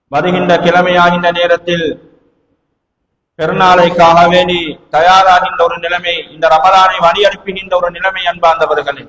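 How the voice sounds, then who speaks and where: A man with a Sri Lankan Tamil accent preaching an Islamic sermon emphatically and deliberately, in short phrases with repetition. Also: sits high, fairly bright tone, highs cut off. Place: large echoing indoor mosque hall. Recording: echoey hall, distant from microphone.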